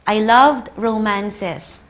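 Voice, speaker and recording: Filipina woman, 30s, Tagalog native, measured pace, keynote speaker telling personal anecdotes with gentle humor. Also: medium pitch. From Philippines. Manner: warm, personal storytelling with light humor. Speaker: conference speaker, librarian. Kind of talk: conference lecture with slides.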